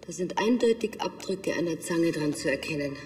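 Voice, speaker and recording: An actress playing a matter-of-fact, composed forensic pathologist in a TV crime comedy. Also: female voice, low-pitched, animated, moderate pace, echoey room, long reverb tail, over music.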